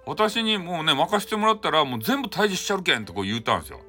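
Male storyteller, Hakata accent, bright animated voice, chatty folksy bedtime-tale delivery over clear background music. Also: a little high, racing. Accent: Hakata (Fukuoka) Japanese. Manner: chatty, folksy, frequent asides. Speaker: storyteller, host of audio show. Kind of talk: casual improvised folk tale retelling for bedtime.

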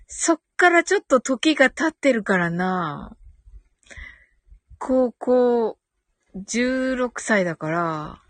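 A woman's voice: fairly high pitch, a bit bright, dry recording. A woman hosting a casual live audio stream, giggly and thinking aloud as she reacts to listener comments.

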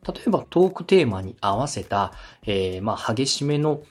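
Husky, animated man giving podcast advice. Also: medium pitch, normal speed, faint music behind. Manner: polite, encouraging, methodical explaining. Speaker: podcast host and radio director giving feedback. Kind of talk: structured advice on podcast production.

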